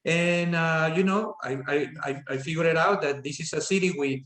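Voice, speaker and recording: A man in his fifties from Colombia with a Colombian accent, telling his story as a podcast guest, halting with many fillers and restarts. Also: fairly high pitch, fairly bright tone, roomy sound. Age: 50s. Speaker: guest, business owner. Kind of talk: casual interview storytelling.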